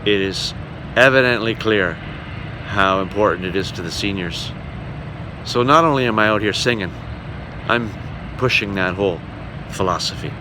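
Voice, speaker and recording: A man with a fairly low voice talks in an earnest, measured way, as if to a documentary camera. The recording has clear vehicle and ambient noise behind him. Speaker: travelling singer speaking about his visits to seniors. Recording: noisy, vehicle and outdoor background hum.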